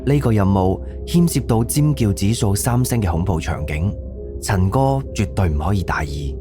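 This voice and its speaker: A man's voice: low voice, normal speed. A man with a Cantonese accent giving scripted narration for an audio drama. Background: background music.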